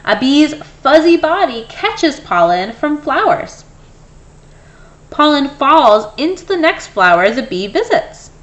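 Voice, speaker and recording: A woman reading a children's picture book aloud in an expressive way, with brief explanatory asides for young listeners. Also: high voice, slow pace. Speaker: storybook reader.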